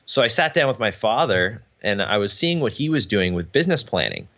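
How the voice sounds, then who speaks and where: A man's voice: lowish voice, wildly swooping pitch, fairly quick, fairly bright tone, dull audio. A young Canadian man, a podcast guest, talking earnestly in a casual interview with frequent ums. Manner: earnest, uses many ums.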